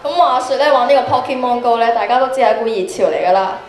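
A woman's voice: a little high, fast. Hong Kong woman storytelling in echoey hall. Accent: Hong Kong Cantonese. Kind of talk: improvised group storytelling. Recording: echoey auditorium, chattering crowd.

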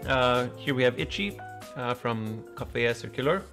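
Male host, thirties, mid-pitched voice, casual interview questions, faint music behind. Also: lively intonation, moderate pace. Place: indoor coffee bar set.

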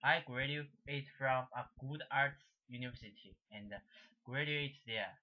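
A young man from Shanghai, a native Mandarin speaker, rambles casually in halting, repetitive broken English with a Chinese accent. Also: medium pitch. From Shanghai, China. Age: young adult. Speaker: amateur painter demonstrating his work. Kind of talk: casual rambling demonstration to camera. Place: small indoor room. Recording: dull lo-fi webcam sound.

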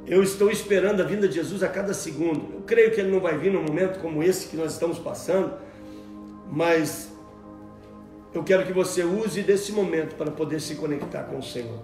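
A man in his forties preaching a sermon from a table at home, animated and repeating words as he searches for them. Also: fairly high pitch, fairly quick, reverberant, soft background music. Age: 40s. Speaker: pastor. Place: room at home, at a table with Bibles.

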